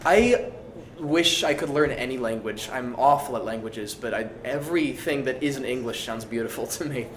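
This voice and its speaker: A man's voice: medium pitch, normal speed. Man chatting in an echoey room. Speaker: actor answering fan questions. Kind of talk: casual group Q&A chat. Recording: roomy echo, faint background noise.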